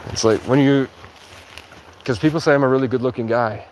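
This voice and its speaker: A sleep-deprived man livestreaming outdoors in a rural area, rambling in a halting way with many restarts. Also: mid-range voice, darkish tone, slight background noise, rough recording. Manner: halting, restarts often, heavy use of "like". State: sleep-deprived after hours of talking. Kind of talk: rambling casual monologue.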